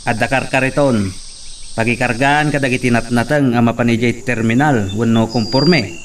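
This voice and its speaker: A man's voice: mid-range voice, normal speed, close to an everyday voice. Man plays easygoing Ilocano city relative; distant, faint hiss. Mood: friendly. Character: friendly city relative, hardworking cart pusher. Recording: distant mic with faint noise. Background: crickets and insects.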